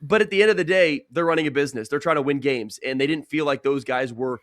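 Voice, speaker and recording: A man's voice: on the high side, fast talker, dry recording. Young man, dry close sound, conversational. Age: young adult. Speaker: sports play-by-play broadcaster, podcast guest. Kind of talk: casual interview answers.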